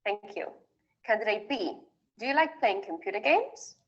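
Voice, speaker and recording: A woman acting as examiner, reading formal exam prompts in a measured way. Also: medium pitch, animated, slightly rough, dull audio. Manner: formal, measured, rising on each question.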